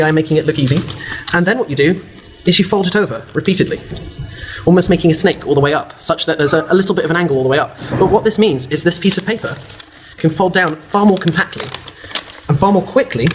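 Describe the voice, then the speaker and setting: A man explains at a quick clip, eager and clear, walking the listener through a demonstration. His voice sits a little high. Faint background noise sits under the recording. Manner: eager, explaining while demonstrating a paper fold. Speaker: guest presenter.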